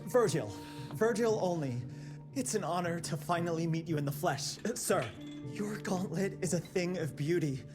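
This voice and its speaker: A performer voicing a nervous, eager young male engineer who stammers and gushes excitably. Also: fairly low pitch, moderate pace, music in the background, lightly acted. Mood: gushing. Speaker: cutscene character.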